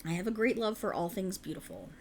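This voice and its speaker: Woman performing an ancient faerie enchantress for ASMR roleplay: creaky voice, courtly old-world phrasing, soothing, possessive, ambient noise underneath. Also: mid-range voice, quick pace, natural voice. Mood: delighted, soothing. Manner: soothing, formal archaic phrasing, coaxing. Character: ancient alluring female faerie, tender yet sinisterly possessive.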